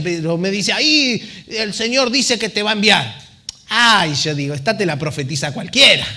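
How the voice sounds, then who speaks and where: Missionary man, bright ringing tone, chatty storyteller at a pulpit. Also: high voice. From Argentina. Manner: joking, chatty storytelling to a congregation. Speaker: missionary guest speaker. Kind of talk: church testimony.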